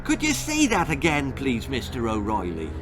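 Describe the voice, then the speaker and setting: A man's voice: mid-range voice, huge pitch swings, normal speed, over music. A man voicing a headstrong, untidy young girl in a children's story, speaking emphatically and full of protest. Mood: indignant.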